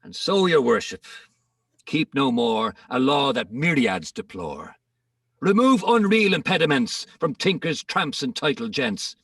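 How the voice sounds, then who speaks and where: Guy doing boastful old man pleading in rhyme, creaky bright voice, declaiming, bit off the mic. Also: mid-range voice, big pitch swings, a little slow, no room sound, recorded from a distance, exaggerated voice. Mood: grandly pleading. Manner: declamatory, rhythmic, emphatic. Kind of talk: dramatic reading of comic verse.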